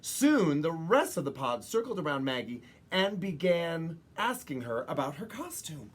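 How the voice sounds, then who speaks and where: A woman reads a children's picture book aloud in an expressive way, with dramatic pauses and emphasis for young listeners. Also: sits low, a little hiss or hum. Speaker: children's story reader.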